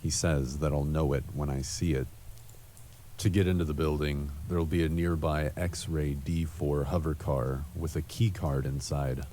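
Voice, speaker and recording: Very deep, brooding noir antihero. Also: male voice, normal speed, slight background noise. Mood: weary, guarded. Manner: deadpan, brooding. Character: world-weary cynical male cyberpunk noir antihero, brooding narrator. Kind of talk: noir monologue voice acting in an adventure game.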